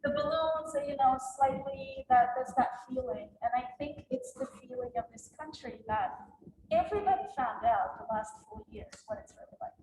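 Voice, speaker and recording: Woman artist, native Russian speaker, fairly high voice, reflective and halting; echoey gallery room. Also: a little mellow, a little hiss or hum. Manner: hesitant, reflective, laughs often. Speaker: exhibiting artist. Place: gallery exhibition space.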